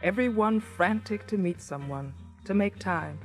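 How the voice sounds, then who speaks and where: A woman's voice: medium pitch, unhurried, soft background music, lightly acted. A woman playing a sensible, concerned American housewife who also narrates, in a naturalistic radio drama style. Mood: reflective.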